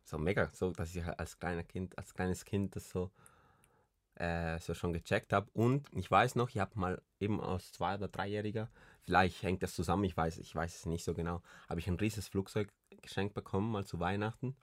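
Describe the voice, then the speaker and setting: Tiefe Stimme, junger Mann aus Kolumbien, trockener Klang, lockeres Plaudern mit vielen Füllwörtern. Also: male voice, low-pitched, steady tone, brisk, no room sound, studio quality. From Colombia. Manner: rambling, many restarts and fillers. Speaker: podcast co-host. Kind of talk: casual chat.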